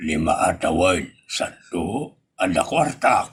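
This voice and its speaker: A man's voice: sits low, expressive, slow, husky, exaggerated voice. A man with an Ilocano accent playing a wheedling male friend out to borrow money in a comedy audio drama.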